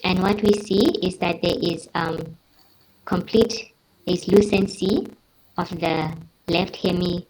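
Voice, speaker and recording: Low-pitched woman presenting, noisy audio. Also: darkish tone. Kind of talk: medical teaching presentation.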